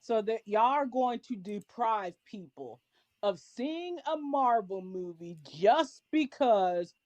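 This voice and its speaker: Slow-talking, blunt woman. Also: a little high, lively intonation, a little mellow, dry recording, dull audio. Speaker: panelist on a pop culture discussion show. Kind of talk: casual opinion discussion.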